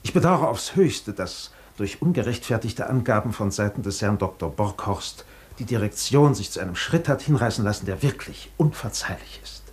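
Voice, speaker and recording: An actor playing an obsequious, anxious hotel director in a German radio drama, stiffly courteous and deferential. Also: male voice, on the low side, lively intonation, normal speed, darkish tone, natural voice. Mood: apologetic, flustered. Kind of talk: German radio play acting.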